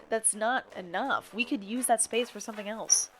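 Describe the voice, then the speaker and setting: A woman's voice: on the high side, normal speed, faint music behind, lightly acted, poor audio. An actress playing an outspoken, indignant woman who co-hosts a town radio show in an audio drama, speaking with exasperated, sarcastic bite. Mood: exasperated, nagging. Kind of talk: audio drama acting as conversational radio banter.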